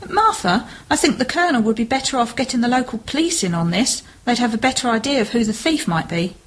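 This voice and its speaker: Woman playing high-voiced, sensible, cautious sister. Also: animated, fast, slight background noise, close to an everyday voice. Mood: doubtful, cautious. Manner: questioning, sensible. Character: Shirley, homely, sensible, cautious sister and sailor's relative. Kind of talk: amateur radio play acting.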